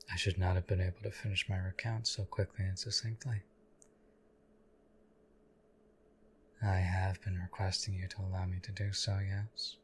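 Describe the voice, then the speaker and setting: A man playing a stoic, loyal knight in an audio roleplay, speaking formally and deadpan to his liege in measured, courtly phrases. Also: deep voice, a little hiss or hum, lightly acted. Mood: deadpan concern. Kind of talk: scripted audio roleplay addressed to the listener.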